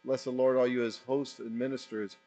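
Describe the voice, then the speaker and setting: A man reciting psalms in a steady, chant-like liturgical reading, with small slips and restarts. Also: medium pitch, a little fast, poor audio. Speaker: reader of psalms.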